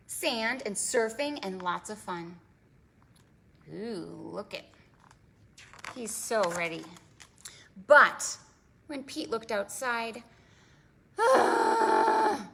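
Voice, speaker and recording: A woman hosting a preschool storytime, reading aloud in an animated, sing-song way with dramatic emphasis and questions for the children. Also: a little high, gravelly. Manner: prompts listeners with questions. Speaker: children's librarian and storytime host.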